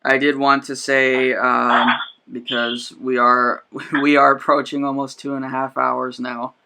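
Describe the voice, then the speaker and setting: Hesitant young man hosting, flat delivery. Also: medium pitch, a little slow, gravelly, low-quality recording. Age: 20s. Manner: hesitant, many fillers. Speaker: podcast host. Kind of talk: casual group chat.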